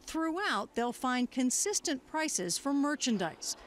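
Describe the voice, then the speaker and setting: A woman's voice: high-pitched, sing-song, takes it slowly, slight background noise. An American woman working as a news correspondent, reading scripted broadcast narration and putting questions in interviews.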